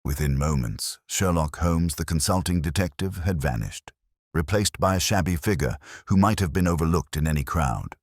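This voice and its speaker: Male narrator, extremely low pitch, lively melodic range, scripted mystery storytelling, formal, dramatic. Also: moderate pace, no room sound, studio quality. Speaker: audiobook narrator.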